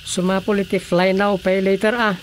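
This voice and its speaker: A man's voice: high voice, little pitch movement, normal speed, natural voice. Man playing talkative Ilocano village councilor, noisy track. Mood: curious, chatty. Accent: Ilocano Filipino. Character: male village councilor, talkative, easygoing, sympathetic. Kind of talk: Ilocano radio drama comedy acting.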